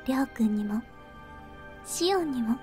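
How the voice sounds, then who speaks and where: A gentle young woman from a visual novel, high-voiced and reassuring. She speaks earnestly and briskly, with music playing behind her. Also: animated. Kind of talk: Japanese visual novel voice acting.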